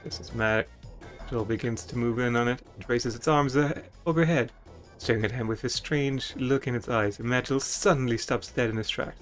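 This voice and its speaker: A man's voice: mid-range voice, moderate pace, faint music behind. A man playing a video game, reading its text aloud dramatically with stumbles and casual commentary. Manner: theatrical, stumbles over written text. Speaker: video game player and streamer.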